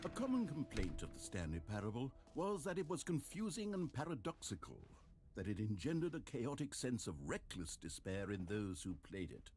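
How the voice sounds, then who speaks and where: An actor playing a smug, self-satisfied video game narrator who speaks in articulate, theatrical and wry scripted narration. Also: male voice, mid-range voice, big pitch swings, moderate pace, a little mellow, lightly acted. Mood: smug, reassuring. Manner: pompous, wry, sarcastic, articulate. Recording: game audio with music underneath. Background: music.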